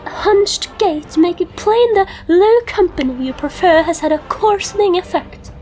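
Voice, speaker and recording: A woman's voice: extremely high pitch, lively intonation, measured pace, darkish tone, slight background noise, pitched above the performer's own voice. A woman voicing a proud, scornful fantasy rogue who speaks in ornate, pompous phrases for a comic dub. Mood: scornful, indignant. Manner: ornate, pompous, florid wording.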